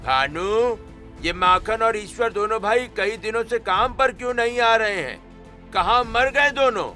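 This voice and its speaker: A man's voice: high-pitched, measured pace, sharp tone, faint music behind, voice pushed up. A man voicing a greedy, domineering village landlord in a cartoon moral story, speaking in threats. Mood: irritated, scheming. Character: menacing greedy male village landlord and moneylender.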